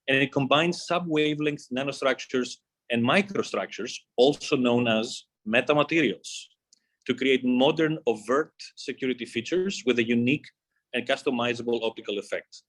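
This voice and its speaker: A man presenting as a company executive on an earnings call, reading scripted material in a formal, measured way, phrase by phrase. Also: mid-range voice, fairly bright tone.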